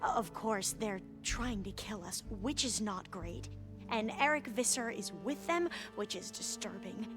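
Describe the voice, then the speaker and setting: An actress playing an earnest, excitable young diviner in a video game, eager and rambling, with precise scholarly wording. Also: female voice, mid-range voice, a little slow, over music, natural voice. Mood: sheepish, uneasy. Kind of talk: dramatic video game acting.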